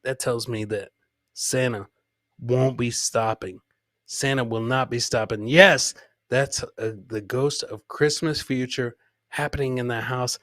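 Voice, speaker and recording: A man's voice: mid-range voice, fairly even intonation, a little slow, fairly bright tone, dry recording, clean studio sound. A man hosting a live comedy podcast, giving casual, rambling commentary on clips and repeating his catchphrases.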